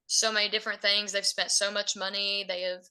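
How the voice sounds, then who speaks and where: A young woman with a Southern Appalachian drawl talks in long, rambling, earnest answers. Her voice is bright and forward, set at an ordinary middle pitch. She sounds like a country singer being interviewed on a podcast. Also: flat delivery, a little fast, clean tone, poor audio. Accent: Southern Appalachian American. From Virginia, USA. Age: young adult.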